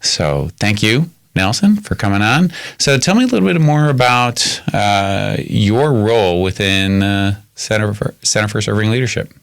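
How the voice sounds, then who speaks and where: A man's voice: on the low side, expressive, normal speed, gravelly, hardly any pauses. A man hosting a podcast interview, friendly with hesitant restarts. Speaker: podcast host. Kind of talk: conversational interview.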